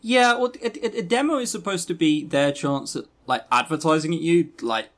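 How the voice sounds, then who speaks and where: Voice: medium pitch, normal speed. A podcast co-host chatting casually about games in an exasperated, emphatic, sweary way. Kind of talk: casual visual novel review chat.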